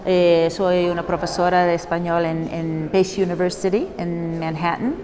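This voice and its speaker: A woman from New York, a Spanish professor giving a clear, orderly interview answer. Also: on the low side, little pitch movement, normal speed, darkish tone, big-room sound. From New York, USA. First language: English.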